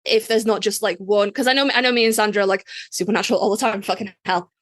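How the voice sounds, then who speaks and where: Woman, podcast co-host, casual, mid-pitched, offhand swearing, dry close mic. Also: steady tone, quick pace, fairly bright tone, no room sound. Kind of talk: casual interview conversation.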